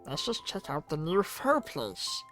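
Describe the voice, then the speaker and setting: A woman's voice: sits low, very animated, unhurried, fairly bright tone, faint music behind, exaggerated voice. A woman playing a bossy, exasperated student girl in a visual novel, blunt and scolding. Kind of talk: visual novel character dialogue.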